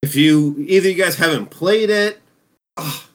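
Male co-host, thirties, a touch higher pitch, eager and halting. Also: fairly bright tone. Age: 30s. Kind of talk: casual chat.

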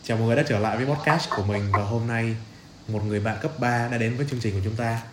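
A man's voice: medium pitch, steady tone, racing. A young man with a southern Vietnamese accent, hosting a podcast as a casual interview chat. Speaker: podcast host. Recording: roomy echo, noticeable background hiss.

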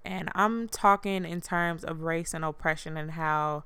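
Woman, podcast host, chill flat monotone, low-ish voice, very clean and dry close recording. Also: a little slow, clean tone, no echo. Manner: casual and blunt, with swearing. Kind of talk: casual solo podcast talk.

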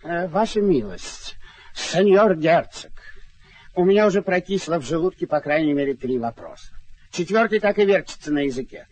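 Husky, high-pitched plump squire. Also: male voice, animated, normal speed, darkish tone, no room sound. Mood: eager, curious. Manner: folksy, blunt, proverb-spouting chatter. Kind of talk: theatrical radio drama acting.

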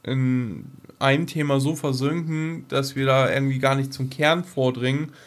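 A man's voice: mid-range voice, steady tone, moderate pace. A middle-aged man co-hosting a podcast in casual, unscripted conversation, explaining things and checking for agreement. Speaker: podcast co-host.

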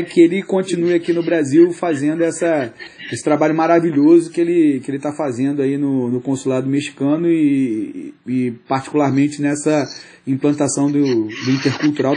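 A man's voice: a little high, darkish tone, breathy edge. A male podcast co-host, asking a guest a long question, full of hesitations and fillers. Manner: halting, lots of fillers and restarts. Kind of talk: interviewing a guest.

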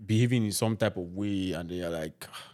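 A man's voice: low voice, a little fast. A man in his thirties with a Nigerian accent, chatting casually and acting out his reactions as he tells stories. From Nigeria (Ibadan, Lagos). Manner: animated storyteller, acts out reactions. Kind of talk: casual chat.

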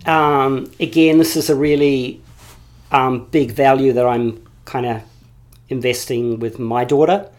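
A man's voice: medium pitch, fairly even intonation, unhurried, darkish tone. A man guest in an interview, talking earnestly and reflectively, often repeating phrases as he builds a point. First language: English.

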